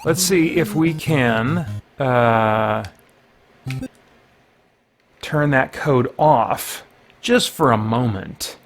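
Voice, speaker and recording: A man's voice: mid-range voice, extremely slow, pauses often. A middle-aged man giving casual, playful gameplay commentary as a host, rambling and thinking aloud as he goes. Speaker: host of a video series. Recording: video game music behind the voice. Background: retro video game music.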